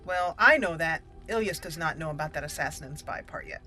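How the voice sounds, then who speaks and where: A woman's voice: low-pitched, a little fast, sharp tone. Female let's play host, roleplay narration of a fantasy game, music bed underneath. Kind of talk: roleplay gameplay narration, reading dialogue choices aloud.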